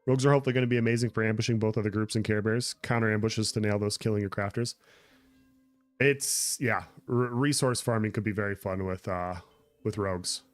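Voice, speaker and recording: A man's voice: on the low side, steady tone, a little fast, dry recording, faint music behind. A man chatting casually on a gameplay livestream, answering viewer comments as he plays. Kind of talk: casual gameplay stream chat.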